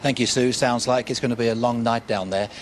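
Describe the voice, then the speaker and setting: A man's voice: medium pitch, steady tone, fairly quick, a little hiss or hum. A man with a British accent anchoring a television news programme from a studio.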